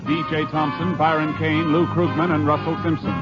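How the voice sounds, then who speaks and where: A man's voice: fairly high pitch, level tone, brisk. An American man reading closing credits in formal 1950s radio announcer style, with music behind him. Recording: muffled vintage radio recording. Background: loud music.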